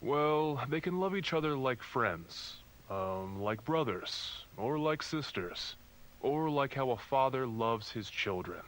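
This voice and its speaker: A man voices a puppet priest from a mock religious children's show. He is gentle, fatherly and preachy, explaining things down to children in a very animated, mid-pitched voice with a faintly sinister undertone. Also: slow. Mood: patient, preachy. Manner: patronizing, instructive.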